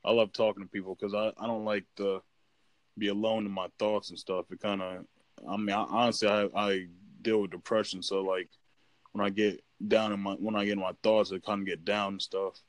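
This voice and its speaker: A man's voice: lowish voice, level tone, brisk, no echo. A man in casual chat as a podcast guest, rambling with many fillers and restarts. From United States.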